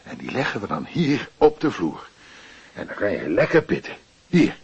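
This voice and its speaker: A low, lively male voice as a fatherly night porter in a radio drama. He coaxes and fusses with concern, swinging widely in pitch, on a slightly muffled recording. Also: normal speed, breathy edge, full character voice. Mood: coaxing, reassuring. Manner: coaxing, solicitous. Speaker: actor in a radio play.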